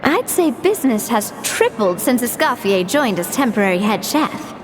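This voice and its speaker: A woman voicing a composed young woman who explains things in a measured way. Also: a little high, very animated. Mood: explaining. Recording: game audio with loud music.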